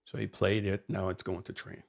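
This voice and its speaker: A man giving casual live-coding commentary as a developer, halting as he thinks aloud. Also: sits low, flat delivery, creaky. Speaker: software developer and live streamer.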